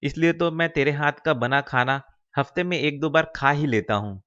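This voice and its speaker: A man's voice: on the high side, moderate pace, a bit bright, lo-fi sound, natural voice. A man playing a simple, dutiful young village man in a cartoon story, mild and agreeable in the way he talks. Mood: friendly. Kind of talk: Hindi cartoon moral-story voice acting.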